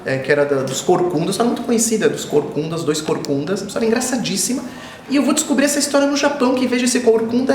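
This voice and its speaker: A man in his forties, born in Israel and raised in Brazil, speaking as an author in an interview, reflective and often repeating himself, growing animated when he tells stories. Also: sits high, roomy sound, a little hiss or hum. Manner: thoughtful, circling back and repeating phrases, animated when telling stories. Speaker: children's author, interview guest.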